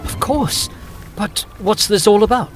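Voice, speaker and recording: An actor playing an earnest, formal gentleman barrister, narrating and speaking in a gothic audio drama. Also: sits high, unhurried, faint music behind. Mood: concerned. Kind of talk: first-person narration and audio drama dialogue.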